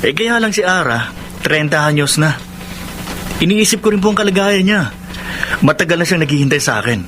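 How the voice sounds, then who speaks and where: An actor plays a burdened, dutiful young man in a radio drama. He explains himself politely and meekly in a fairly high male voice, with clear noise in the background. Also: lively intonation, fairly quick, lightly acted. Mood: apologetic. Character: dutiful, meek grown son, respectful and burdened. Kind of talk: radio drama acting in Filipino.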